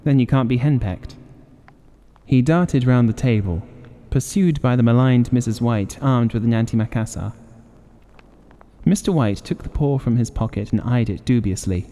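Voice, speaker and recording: A man's voice: mid-range voice, moderate pace, mellow. A man narrating a gothic story as a scripted audiobook. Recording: long reverberant hall-like echo.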